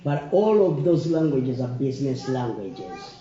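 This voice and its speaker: A man's voice: mid-range voice, big pitch swings, unhurried, warm tone. A middle-aged Ugandan man, a native Luganda speaker, giving an earnest, opinionated informal speech to a gathering. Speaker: community event speaker. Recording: muffled, echoey room recording.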